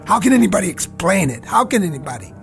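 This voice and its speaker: An actor playing a weary army lieutenant at a wartime hospital, halting and reassuring over hidden dread, in a radio drama. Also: male voice, sits high, big pitch swings, vocal fry, full character voice. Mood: desperate. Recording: dramatic music behind the voice.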